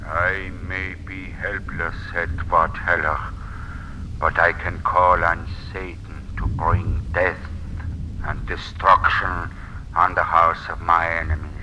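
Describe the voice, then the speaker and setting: A man's voice: sits low, little pitch movement, slow pace, background noise. An actor playing a sinister old male witch uttering a curse, gloating and ominous in a muffled vintage radio drama. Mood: gloating menace. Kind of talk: 1940s radio acting.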